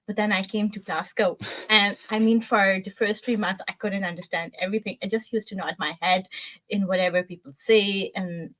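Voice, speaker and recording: A woman's voice: medium pitch, fairly even intonation, normal speed, low-quality recording. A woman in her twenties from Pakistan, English not her first language, answering interview questions with frequent hesitations. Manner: soft-spoken with frequent "uh" hesitations. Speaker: guest. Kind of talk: casual interview.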